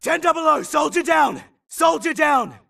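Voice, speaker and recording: Gravelly, frantic battlefield grunt. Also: male voice, very high-pitched, animated, normal speed, pushed and bright, distant mic, heavily acted. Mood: frantic, urgent. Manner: urgent, clipped military callouts. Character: frantic male futuristic infantry grunt in battle. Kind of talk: shouted video game combat barks.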